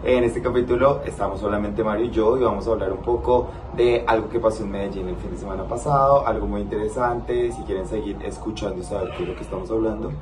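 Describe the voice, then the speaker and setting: A man's voice: fairly low pitch, quick pace, hardly any pauses. A man opening a podcast episode with an upbeat welcome. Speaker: podcast host. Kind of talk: show intro. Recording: roomy, distant, noisy.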